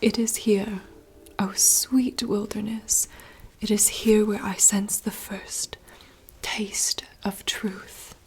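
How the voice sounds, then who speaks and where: A woman voicing a wistful young princess in a soft, slow, poetic whisper. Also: medium pitch, gravelly, breathy edge, full character voice. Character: wistful young princess longing for wild woods. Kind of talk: whispered monologue reading.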